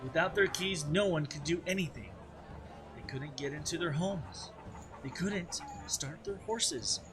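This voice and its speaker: A patient dad spins a campfire tale, voiced by a man reading a storybook. He takes his time, with dramatic rises and falls in a fairly high male voice. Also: lively intonation, measured pace, music in the background. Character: patient father telling a campfire fairy tale.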